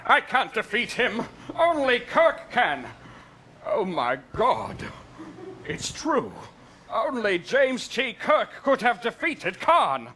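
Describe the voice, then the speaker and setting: An earnest, alarmed starship captain played by a man speaks in a very high, wildly swooping voice. The delivery is bright and exclamatory, in stage parody style, recorded live. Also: wildly swooping pitch, takes it slowly, a little hiss or hum, heavily acted. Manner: exclamatory, dramatic. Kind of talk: stage parody comedy acting.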